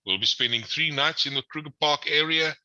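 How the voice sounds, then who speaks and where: South african guy with an afrikaans accent, lots of ums, bright edgy voice, kinda muffled audio. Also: a little high, fairly quick, no echo. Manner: hesitant, many ums and uhs. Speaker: tour operator guest. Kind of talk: webinar presentation.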